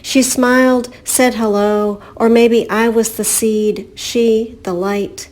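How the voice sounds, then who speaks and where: A woman poet reading her own poems in a measured, deliberate way. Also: a little high, steady tone, darkish tone. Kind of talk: poetry reading.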